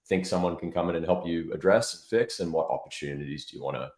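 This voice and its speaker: Very deep-voiced man in an echoey room. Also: quick pace, darkish tone. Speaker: interview guest, product marketing executive. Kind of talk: conversational interview answers. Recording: roomy, echoey sound.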